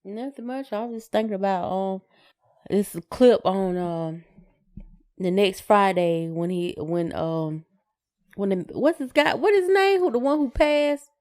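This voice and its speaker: A woman's voice: medium pitch, unhurried, darkish tone. A woman co-hosting a podcast in casual chat, laconic and given to brief replies. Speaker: podcast co-host.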